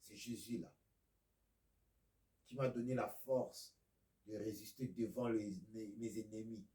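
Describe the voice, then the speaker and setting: Male preacher from Congo, Lingala and Kikongo speaker, slow delivery with sudden near-whispers, mellow voice, echoey room. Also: fairly low pitch, fairly even intonation. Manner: slow, swings from loud declaiming to near-whispers. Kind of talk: video sermon.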